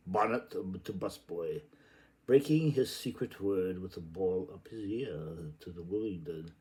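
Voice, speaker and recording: A man's voice: deep voice, slow, a little mellow, low-quality recording. A man reading literary prose aloud in a theatrical, playful and exclamatory way. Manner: playful, exclamatory delivery with sudden outbursts. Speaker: reader.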